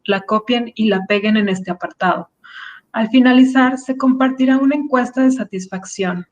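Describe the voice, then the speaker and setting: A woman's voice: on the high side, normal speed, darkish tone, lo-fi sound. A woman presenting a webinar, reading formal procedural announcements before handing over to the speaker.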